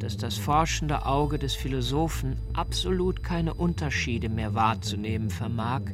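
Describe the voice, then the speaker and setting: An actor playing a provocative philosopher in a radio drama, declaiming a treatise deliberately and rhetorically. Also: male voice, mid-range voice. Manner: rhetorical, deliberate, posing questions. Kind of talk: dramatic literary reading for radio. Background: music.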